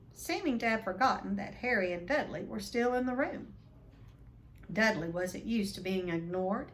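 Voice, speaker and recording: A woman reading a children's novel aloud as an expressive storyteller. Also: medium pitch, slight background noise.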